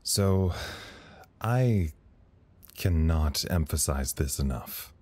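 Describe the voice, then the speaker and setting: An actor playing a weary, self-deprecating communications officer in an audio drama, talking in a rambling, wry way. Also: male voice, rumbling low, expressive, very slow, darkish tone, heavily acted. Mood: weary, dry. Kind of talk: audio drama recorded log monologue.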